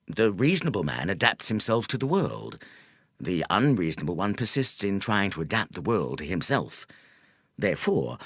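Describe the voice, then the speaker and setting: A man narrating a nonfiction audiobook in a measured, deliberate, scripted reading style. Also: lowish voice, dry recording, clean studio sound. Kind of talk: scripted audiobook narration of a self-help business book.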